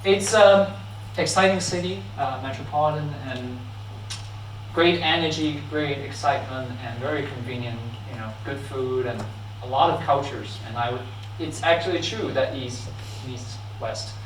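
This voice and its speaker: A man from Hong Kong with a Hong Kong accent, giving a friendly, halting talk with slides, full of fillers and restarts, with frequent laughs. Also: medium pitch, slight background noise. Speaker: guest speaker. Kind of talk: informal lecture with slides. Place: church hall. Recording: echoey hall, mic at a distance.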